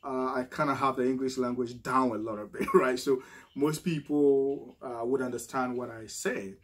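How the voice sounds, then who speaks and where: A man's voice: medium pitch, fairly even intonation, moderate pace, a little hiss or hum. Ghanaian man giving casual advice. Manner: conversational, frequent restarts and "right?" tag questions. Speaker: vlogger.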